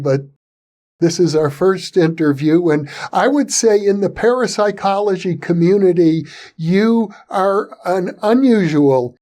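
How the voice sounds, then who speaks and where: Higher-pitched man hosting an interview. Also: warm tone. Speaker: interview host. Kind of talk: measured introduction and interview. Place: video call interview.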